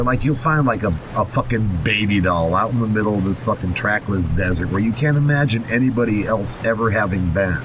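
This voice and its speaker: A man co-hosting a podcast in a foul-mouthed, sardonic way, moving between casual commentary and mocking readings of a horror story. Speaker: podcast co-host. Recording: rumbling noisy recording.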